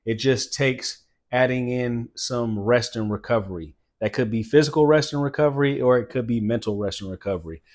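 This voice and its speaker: Late 30s guy doing a motivational fitness talk, mid pitched voice, earnest and emphatic. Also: brisk, few pauses. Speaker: fitness channel host.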